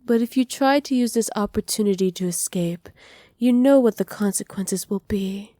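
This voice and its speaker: Girl voicing a witch mistress asmr, soft mellow voice, doting but kinda condescending and possessive, super dry sound. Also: mid-range voice, normal speed, dry recording, lightly acted. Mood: amused, threatening. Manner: soft, teasing, condescendingly affectionate. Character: doting, possessive witch mistress pampering her captive pet. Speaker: voice actor. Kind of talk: ASMR roleplay.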